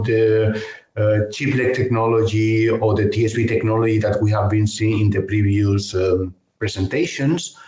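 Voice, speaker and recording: A man from Spain with a Spanish accent, a university professor giving a technical webinar lecture in a measured, careful way with frequent hesitations. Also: on the low side, a little mellow. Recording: echoey online call audio.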